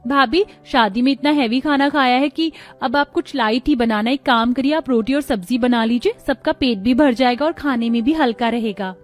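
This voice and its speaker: Woman acting young cartoon sister-in-law, high voice, polite, helpful. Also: moderate pace, few pauses, soft background music, natural voice.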